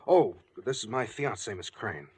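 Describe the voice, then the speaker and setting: An actor playing a nervous, guilty pharmacist in a 1940s radio drama, hesitant in manner. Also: male voice, fairly low pitch, animated, normal speed, darkish tone, slightly rough. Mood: forced politeness. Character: anxious, guilty pharmacist plotting a murder. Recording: vintage 1940s radio broadcast.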